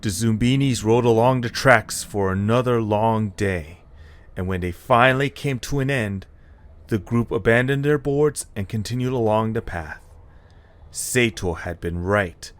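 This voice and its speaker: Slow, low-voiced male story narrator. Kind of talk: dramatic scripted story narration.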